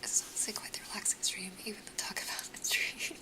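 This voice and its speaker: Whispering young woman, sniffly. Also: fast. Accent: American. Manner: soft whisper throughout, chatty, laughs often. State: a little sniffly. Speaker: ASMR streamer chatting with viewers. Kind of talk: whispered casual chat.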